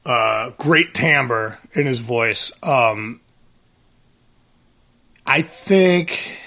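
Gravelly guy with a bright tone, rambling on with lots of likes and uhs. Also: medium pitch, animated, drawn-out words. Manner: rambling, many restarts and fillers. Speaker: singer in a band, guest. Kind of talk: casual conversation.

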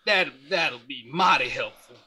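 A man's voice: fairly high pitch, animated, unhurried, a crowd in the background. A man putting on a weepy Texan farmer drawl. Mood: pleading. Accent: put-on Southern drawl. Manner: quavering, near tears.

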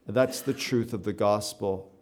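A man's voice: on the low side, steady tone, a little slow. Canadian man preaching with fillers. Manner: conversational, with frequent fillers and repeated words; builds to emphatic, louder stresses. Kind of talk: sermon to a live audience.

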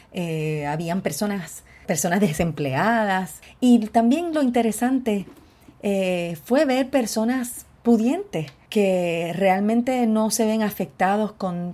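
Puerto Rican woman host, slightly low, dark and mellow tone, frank and outspoken delivery. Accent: Puerto Rican Spanish. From Puerto Rico. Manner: outspoken, candid, openly frustrated. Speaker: podcast host. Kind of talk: solo podcast monologue.

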